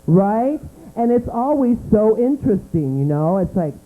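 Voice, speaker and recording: Stand-up comic, male, high voice, slow and campy, tinny club recording with hum. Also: very animated. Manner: campy, dramatic delivery with sudden swoops into low voice. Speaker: stand-up comedian. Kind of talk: stand-up comedy set. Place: small comedy club.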